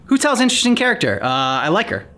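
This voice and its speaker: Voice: mid-range voice, wildly swooping pitch, rapid-fire, pushed and bright, slight background noise. A reaction video host of unclear sex chatting casually and thinking aloud. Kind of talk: casual chat.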